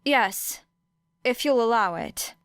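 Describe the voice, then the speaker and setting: Woman reading as a guarded, softly polite schoolgirl. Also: a little high, expressive, takes it slowly, clear, resonant tone, no echo. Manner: reserved, politely careful. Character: guarded, polite teenage girl student. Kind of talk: audiobook character voice.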